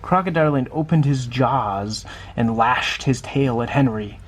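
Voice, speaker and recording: A man's voice: mid-range voice, unhurried. A man reading a children's picture book aloud, adding small conversational asides as he goes. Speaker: storybook reader.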